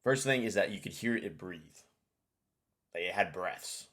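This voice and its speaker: A man's voice: deep voice, expressive, measured pace, fairly bright tone. A man hosting a casual screen-share tutorial, informal and thinking aloud as he goes. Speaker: tutorial host.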